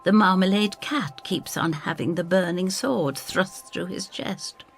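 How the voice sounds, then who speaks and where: Low, gravelly, confiding storybook landlady, acted by a woman. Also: measured pace, soft background music. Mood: storytelling, intrigued. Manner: confiding, wry, homely. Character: Brenda, cosy, wry, motherly landlady narrator. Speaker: first-person narrator. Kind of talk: dramatised scripted storytelling.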